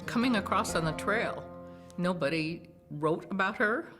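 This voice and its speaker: A woman's voice: fairly low pitch, expressive, moderate pace. A woman recounting history as a researcher in a documentary interview. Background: plucked guitar music.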